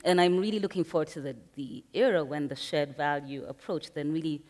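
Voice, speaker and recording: Deep-voiced Kenyan woman panelist. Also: brisk, darkish tone. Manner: earnest, emphatic, thinks aloud with fillers. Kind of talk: panel discussion. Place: conference hall. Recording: reverberant conference hall.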